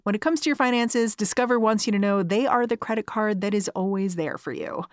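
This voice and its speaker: A woman's voice: mid-range voice, a little fast, dry recording, studio quality. A woman announcer giving an upbeat, polished ad read. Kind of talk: scripted ad read.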